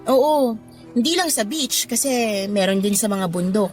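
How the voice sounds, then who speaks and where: A woman's voice: on the high side, expressive, normal speed, a little mellow, natural voice. An actress playing a discontented, flirty mother of thirty who confides in a Filipino accent, in a conversational radio drama style. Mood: wistful, chatty. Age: 30s. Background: background music.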